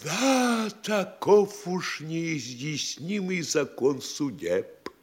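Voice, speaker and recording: A pompous, lecturing town mayor. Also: male voice, on the high side, expressive, drawn-out words, breathy edge, full character voice. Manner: lecturing, scolding, theatrical. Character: pompous self-important male town mayor, middle-aged. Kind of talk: classic stage radio play acting.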